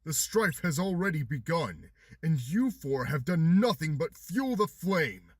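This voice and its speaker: A man's voice: a little high, very animated, a little slow, breathy edge, no echo, full character voice. A man playing a ruthless, power-hungry Keyblade master in a game fandub, speaking in a solemn, commanding manner. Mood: cold, accusing. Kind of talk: anime-style game fandub acting.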